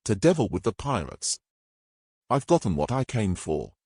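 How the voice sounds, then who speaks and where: A man playing a greedy, imperious male adventurer with brisk old-fashioned speech in a fantasy audio story. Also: on the low side, sing-song, very noisy. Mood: bossy, scornful.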